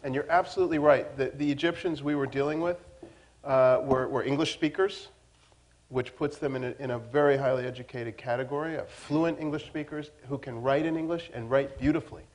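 A middle-aged man answers from the stage through a lapel mic. He speaks at a mid pitch in a conversational, assured way. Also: fairly even intonation, brisk, low-quality recording. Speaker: panelist. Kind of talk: panel discussion.

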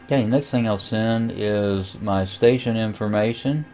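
A man giving a casual, unhurried screen tutorial, thinking aloud as he goes. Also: fairly low pitch, darkish tone, faint music behind. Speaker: amateur radio tutorial host. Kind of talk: casual screen-recorded software walkthrough.

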